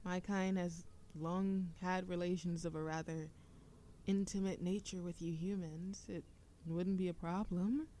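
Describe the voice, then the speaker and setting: Slow-talking, teasing young witch played by a woman. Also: fairly low pitch, fairly even intonation, warm tone, slight background noise, natural voice. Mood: earnest, tender. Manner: teasing, affectionate, breathy laughs and whispers. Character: playful, teasing young witch, smitten childhood friend. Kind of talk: intimate romantic audio roleplay.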